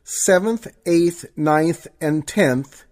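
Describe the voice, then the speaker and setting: A man announces scripted credits for a radio production. His voice is a bit high for a man, and he takes his time through each item at an unhurried, slow pace. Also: a little mellow.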